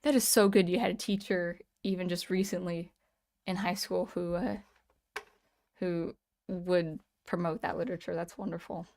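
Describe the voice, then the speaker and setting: A woman's voice: fairly low pitch, fairly even intonation, moderate pace, creaky, darkish tone. A woman hosting a casual live stream, chatting and thanking viewers by name, with a slightly strained voice. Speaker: livestream host.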